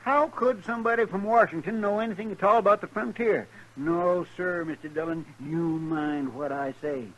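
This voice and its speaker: A man's voice: high voice, normal speed, lo-fi sound, close to an everyday voice. A man playing a loyal, folksy frontier sidekick who complains stubbornly in rustic American speech, in 1950s western radio drama style. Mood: stubborn, gloomy. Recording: noisy vintage radio broadcast recording.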